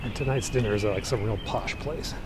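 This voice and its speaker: A man's voice: on the low side, fast. A man chatting casually to viewers in an offhand, musing way as he walks outdoors through a city. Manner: offhand, musing aloud to himself. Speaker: travel vlogger. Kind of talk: casual walking vlog chat to viewers. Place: outdoors walking on a city street. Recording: loud street noise, traffic outdoors. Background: urban street ambience with vehicles.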